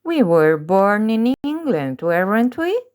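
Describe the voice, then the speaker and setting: A woman teaching English through slow, deliberate pronunciation drills, pausing between short phrases. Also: medium pitch, sing-song, a little mellow. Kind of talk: slow drilled language lesson.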